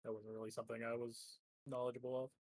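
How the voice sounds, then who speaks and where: A man's voice: medium pitch, level tone, quick pace, a little mellow, no echo. A man chatting casually as a tabletop game player, hesitant and questioning as he works things out.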